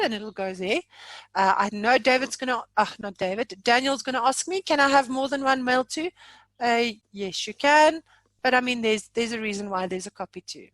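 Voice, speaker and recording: A South African woman giving an informal software demonstration, chatty and jokey, poking fun at herself. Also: a little high, normal speed, dry recording. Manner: chatty, jokey, self-deprecating. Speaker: software trainer.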